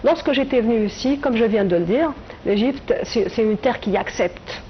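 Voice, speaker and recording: Middle-aged tunisian-born woman guest, slow thoughtful answers full of pauses, dull audio with a bit of hiss. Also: a little high, animated. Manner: hesitant, reflective, many filler pauses. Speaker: writer and translator guest. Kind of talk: TV interview answers.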